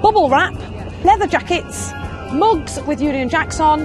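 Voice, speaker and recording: High-voiced bossy lady team leader, bouncy tone, music behind. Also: lively intonation, measured pace. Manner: bossy, upbeat, rallying. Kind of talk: reality TV contestant. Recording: music under the voice.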